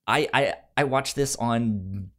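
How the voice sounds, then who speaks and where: A man's voice: mid-range voice, slow pace, fairly bright tone. A man hosting a podcast in casual chat, upbeat and enthusiastic, sometimes stammering over his words. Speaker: podcast host.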